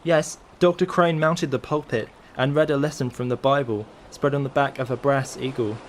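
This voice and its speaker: A man's voice: medium pitch, fairly even intonation, normal speed, a little hiss or hum, natural voice. A man acting an orderly, self-effacing banker's son, speaking earnestly and with reserve in a literary drama. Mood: earnest, reverent.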